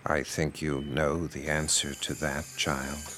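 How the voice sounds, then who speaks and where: An American actor playing a middle-aged, paternal, insinuating pastor in an audio drama, measured and preachy. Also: male voice, rumbling low, flat delivery, fairly bright tone, faint music behind, heavily acted. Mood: solemn, coaxing. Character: paternal, insinuating small-town pastor with grown daughters.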